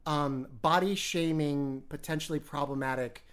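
A woman with a notably low voice hosts a loose podcast chat. She is enthusiastic and swears casually as she talks. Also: steady tone, moderate pace, smooth.

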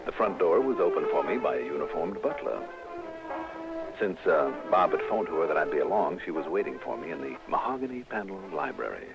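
An actor with an American accent playing a confident, courteous insurance investigator who narrates a 1960s radio detective drama in a measured, probing way. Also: male voice, rumbling low, very animated, gravelly, loud music behind the voice, heavily acted. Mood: narrating. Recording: dull, lo-fi old radio recording.

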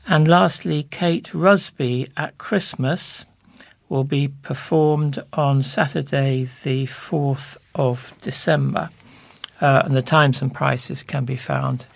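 A man presenting local information, reading out listings and phone numbers in measured, deliberate short phrases. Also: medium pitch, creaky, dry recording.

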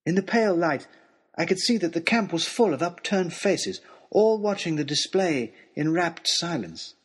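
Gentleman adventurer, eager and lovestruck, highish pitch, slightly husky, wordy old-fashioned storytelling. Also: expressive, normal speed. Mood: awed. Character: eager, lovestruck, earnest young gentleman adventurer narrating his journey. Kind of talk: dramatised first-person storytelling, as a letter to a lady.

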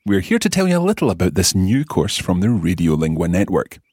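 A low-voiced man presents a language podcast with bouncy, animated pitch. His voice has a slight husk, and it is recorded close and dry. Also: a little fast, husky, dry recording. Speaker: language teacher and podcast host. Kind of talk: podcast lesson intro.

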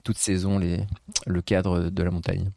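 A man's voice: low-pitched, completely flat delivery, measured pace, gravelly, mellow, dry recording, studio quality. A man in his forties from southeastern France, a podcast guest talking in a hesitant, filler-heavy way. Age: 40s. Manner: hesitant, many fillers, laughs often. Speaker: podcast guest, investment fund head. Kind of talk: casual interview.

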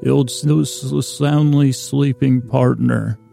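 Gravelly, monotone man rambling softly. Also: mid-range voice, over music. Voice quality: gravelly, creaky. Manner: meandering, halting, self-interrupting. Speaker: podcast host. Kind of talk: rambling bedtime monologue to lull listeners to sleep.

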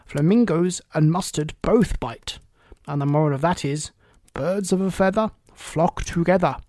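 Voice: mid-range voice, a little slow, a little mellow, no room sound, clean studio sound, natural voice. A reader voicing a pompous, moralizing and cloyingly agreeable Duchess, a rambling, self-pleased woman, in a storybook. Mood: coy, moralizing.

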